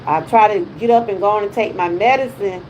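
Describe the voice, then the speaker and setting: A woman's voice: mid-range voice, a little fast, darkish tone, highs cut off, a little hiss or hum. A woman in her fifties with a Southern US accent, chatting casually on her wellness livestream with folksy exclamations. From Georgia, USA. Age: 50s. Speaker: wellness vlogger.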